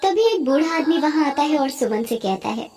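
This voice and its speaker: A woman tells a scripted cartoon story. Her voice is very high in pitch. She delivers it as a storyteller. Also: expressive, moderate pace, darkish tone.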